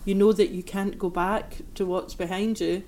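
Even-toned woman radio host. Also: mid-range voice, moderate pace, a little hiss or hum. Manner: empathetic, thoughtful phrasing. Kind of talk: radio interview.

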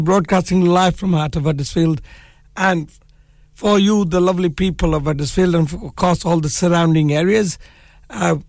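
A man hosting a live community radio show, hoarse with a cold and croaky, chatting in a relaxed way with many fillers. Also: on the high side, no room sound, studio quality. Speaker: radio presenter. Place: radio studio.

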